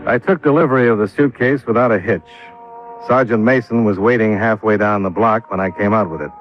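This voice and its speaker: Male actor as world-weary American detective, low pitch, dry clipped narration, old radio sound with music behind. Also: fairly quick, natural voice. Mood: dry, measured. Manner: dry, clipped, hard-boiled. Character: world-weary, wry freelance insurance investigator, adult man. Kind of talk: old-time American radio drama acting, first-person narration. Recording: dull vintage radio recording, background music.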